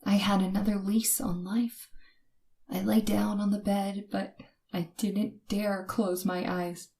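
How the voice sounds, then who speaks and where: An actress playing a terrified young woman who narrates her night of terror in old-time radio suspense style, dramatic and halting. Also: mid-range voice, flat delivery, gravelly, mellow. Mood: hushed dread.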